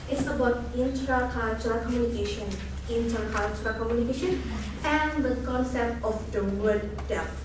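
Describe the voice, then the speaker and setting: Young Indonesian woman presenting, echoey room. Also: fairly high pitch. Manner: earnest, explanatory, frequent restarts. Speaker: student presenter. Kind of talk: academic presentation. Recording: echoey room from far off, noisy.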